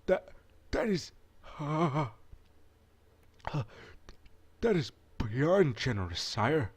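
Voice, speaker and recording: A man's voice: on the high side, big pitch swings, extremely slow, slightly rough, pitched above the performer's own voice, full character voice. A man voicing a humble, proud father in a visual novel, speaking hesitantly and with formal deference. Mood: astonished, grateful.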